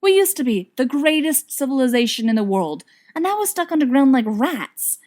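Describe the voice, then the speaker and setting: A woman's voice: high voice, very animated, moderate pace, a little mellow, voice pushed up. An earnest young wizard played by a woman reader in a fantasy audiobook. He talks persuasively and thoughtfully, with a bitter, aggrieved conviction under the polite manner. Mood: indignant.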